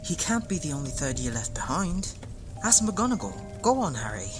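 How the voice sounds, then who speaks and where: A blunt teenage boy, voiced by a woman in an audio drama, low in register and very lively in pitch. He is grumpy and easily indignant, with music clearly behind him. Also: moderate pace. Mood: blunt, urging. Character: Ron, grumpy, blunt teenage schoolboy with a pet rat. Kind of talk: fan audio drama acting.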